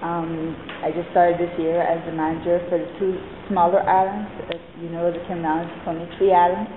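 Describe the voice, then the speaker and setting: A woman's voice: lowish voice, little pitch movement, fairly quick. A woman casually introducing herself and her work to a meeting. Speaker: museum manager at a meeting. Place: university meeting room. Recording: muffled, noisy, echoey meeting room.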